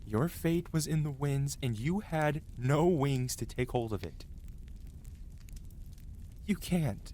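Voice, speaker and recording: A man's voice: mid-range voice, lively intonation, slow pace, long pauses, warm tone, slight background noise. A man voicing an angry yet protective dragon who scolds a rider in a dramatic, emotional fantasy ASMR roleplay. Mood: stern, anguished.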